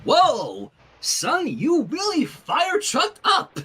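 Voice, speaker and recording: A narrator voicing a brash, excitable teenage athletic girl with gushing, slangy speech in a fan fiction reading. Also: sits high, drawn-out words, pitched above the performer's own voice, full character voice. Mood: blunt. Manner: gushing and exclamatory, casual slang.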